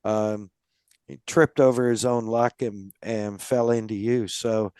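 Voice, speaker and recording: A man's voice: fairly low pitch, steady tone, takes it slowly, mellow, clear, resonant tone, dry recording. A man hosting a podcast, chatting casually with a guest and talking in a rambling way, with lots of fillers and restarts. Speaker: podcast host. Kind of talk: casual interview chat.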